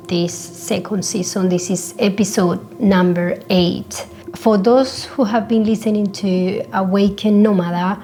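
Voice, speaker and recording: A woman's voice: mid-range voice, mellow. A native Spanish-speaking woman with a Spanish accent, hosting a solo podcast and talking about herself in a reflective, earnest way. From born in Colombia, grew up in Spain. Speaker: podcast host. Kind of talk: personal solo podcast monologue.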